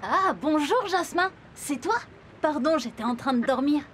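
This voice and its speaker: A hospitable flamingo father in a children's cartoon. The voice is high and friendly, patiently explaining things in simple terms. Soft music runs faintly behind it. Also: lively intonation, measured pace. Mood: sleepy, friendly.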